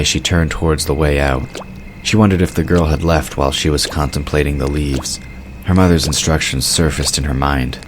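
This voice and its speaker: A man reading scripted narration for a fantasy audio drama in a measured storytelling manner. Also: very deep voice, slight background noise.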